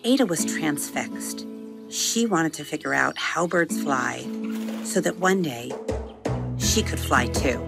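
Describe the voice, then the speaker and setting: Woman with a low voice reading a kids' bedtime story, gentle and expressive, music playing underneath. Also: slow pace, vocal fry. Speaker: podcast host and storyteller. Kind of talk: storybook narration for children.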